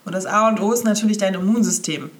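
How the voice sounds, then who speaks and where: A woman's voice: medium pitch, quick pace. A young woman hosting a casual solo podcast, stuffy-sounding from a cold, cheerful and chatty as she talks straight to the listener. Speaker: podcast host.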